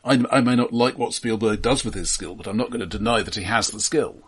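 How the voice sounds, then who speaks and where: A man co-hosting a film podcast, speaking casually in a dry, analytical, halting way, often repeating words. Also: medium pitch, a bit bright, a little hiss or hum.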